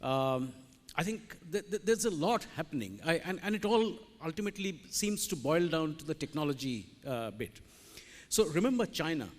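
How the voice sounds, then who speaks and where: Loud rambling man in a hall. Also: on the high side, lively intonation, normal speed. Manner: loud, rambling, self-aware. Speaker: panel speaker, economist. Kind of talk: conference talk. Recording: reverberant conference hall.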